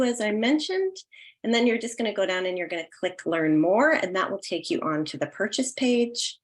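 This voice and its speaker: A woman's voice: medium pitch, a bit bright. A woman hosting an online event in a casual, instructional way. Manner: informal, instructional. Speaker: host.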